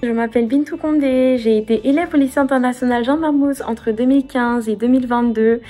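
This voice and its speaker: A woman's voice: a little high, fairly even intonation, brisk, warm tone. Composed young woman, faint music. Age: young adult. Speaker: former student giving a testimonial. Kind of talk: prepared testimonial.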